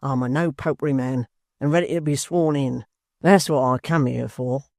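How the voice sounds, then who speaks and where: A man reading a storybook aloud, voicing a blunt, rough-spoken young brawler. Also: mid-range voice, steady tone, normal speed, a little mellow, no room sound, studio quality, lightly acted.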